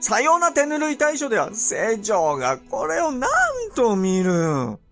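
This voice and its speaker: An actor playing an imperious, impatient and suspicious medieval Japanese ruler in a period audio drama, with theatrical, drawn-out emphasis. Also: male voice, high voice, sing-song, vocal fry, faint music behind, full character voice. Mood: impatient, ruthless. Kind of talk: period radio drama acting in archaic speech.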